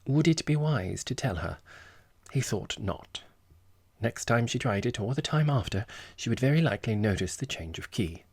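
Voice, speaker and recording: A man's voice: mid-range voice, expressive, moderate pace, husky, dry recording, clean studio sound. A man narrating a literary novel as an audiobook, reading from a script.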